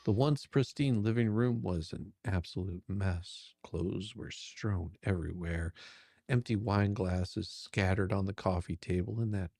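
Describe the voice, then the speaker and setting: A man narrating a steamy romance in drawn-out, amused phrases on a close microphone. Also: deep voice, vocal fry, dark tone, no room sound. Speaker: narrator and reader. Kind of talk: dramatic reading of a steamy romance.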